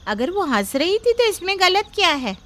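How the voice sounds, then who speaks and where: A woman voicing a young mother who calmly stands up for her daughter. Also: very high voice, moderate pace. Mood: earnest.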